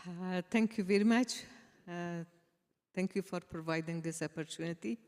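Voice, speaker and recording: A woman journalist giving a dinner speech, halting, with many pauses and fillers. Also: fairly low pitch, darkish tone. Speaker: journalist, guest speaker. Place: large banquet hall. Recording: echoey hall with long reverb.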